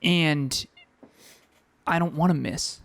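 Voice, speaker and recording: A man's voice: fairly high pitch, fairly even intonation, creaky, a bit bright, no room sound. Reflective male podcast co-host. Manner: reflective, fills pauses with um. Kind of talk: casual podcast chat. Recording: dry home recording with a fan running.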